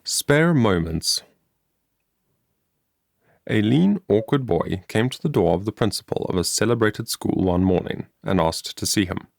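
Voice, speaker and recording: A man reading a moral story as an audiobook narrator, in a measured, scripted storytelling manner. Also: fairly low pitch, animated, creaky, no room sound, clean studio sound.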